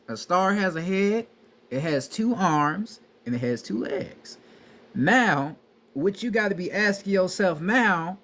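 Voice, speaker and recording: High-pitched man preaching with profanity. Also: a bit bright. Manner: profane, repetitive, emphatic. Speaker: spiritual teacher. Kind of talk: casual street-style lecture.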